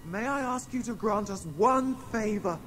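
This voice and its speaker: A man's voice: high-pitched, moderate pace, close to an everyday voice. An actor playing a polite, earnest young male adventurer in a radio drama, respectful and composed as he pleads. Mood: courteous, composed. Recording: noisy radio drama soundscape.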